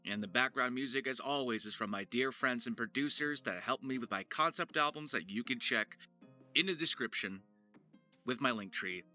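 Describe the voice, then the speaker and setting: A man's voice: medium pitch, moderate pace, sharp tone, faint music behind. A man hosting an informal voice-acting tutorial, talking straight to viewers like an earnest coach. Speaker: voice actor and video host.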